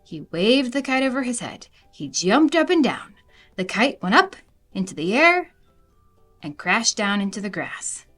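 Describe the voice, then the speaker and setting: A woman reading a children's storybook aloud in an animated narrating style. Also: medium pitch, unhurried, faint music behind. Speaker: storybook reader.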